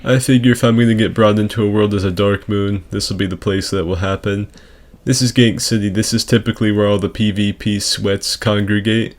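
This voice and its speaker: A man with a fairly low voice hosts gaming commentary in a casual, comedic register. His delivery is crude and sarcastic, full of exaggeration. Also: steady tone, brisk, few pauses. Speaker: gaming video host.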